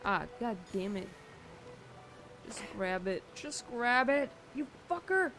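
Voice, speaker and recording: A woman's voice: on the high side, sing-song, slow pace, a bit bright, a little hiss or hum. A young woman streaming a video game, rambling casually with lots of filler words and swearing, her voice a little shaky. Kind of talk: casual gameplay commentary.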